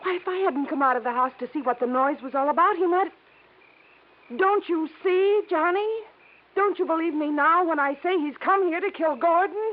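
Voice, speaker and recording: An actress playing an anxious, pleading wife in a 1950s radio mystery, with a fretful, breathless, melodramatic delivery. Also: female voice, very high-pitched, moderate pace. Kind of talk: 1950s radio drama acting. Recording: tinny, noisy vintage radio recording.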